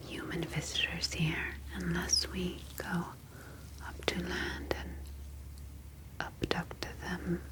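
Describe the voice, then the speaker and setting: A woman playing a calm, formal humanoid alien scientist in an ASMR roleplay, speaking in soft, breathy whispers with measured, deliberate phrasing. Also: sits low, low-quality recording. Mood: calm, explanatory.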